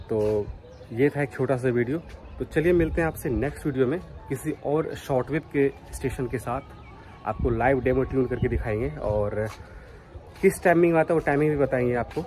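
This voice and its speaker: A man's voice: medium pitch, a little mellow, slight background noise. A man giving a casual live demonstration as a vlogger. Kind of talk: casual live demo commentary.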